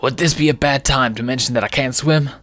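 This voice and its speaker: A man's voice: mid-range voice, steady tone, a little fast, a bit bright, faint music behind, voice pushed up, lightly acted. A man voicing a nervous, hapless male hyena sidekick in a cartoon animal story.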